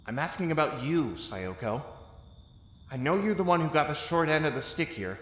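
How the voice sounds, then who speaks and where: Expressive, caring soldier, echoey reverb. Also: male voice, fairly high pitch, moderate pace. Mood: concerned, gentle. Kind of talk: audio drama acting.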